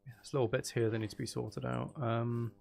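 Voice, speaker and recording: A man's voice: mid-range voice, level tone, brisk, creaky, a little mellow. A man casually chatting on a live game stream, musing aloud and talking to viewers as he plays. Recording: faint background noise.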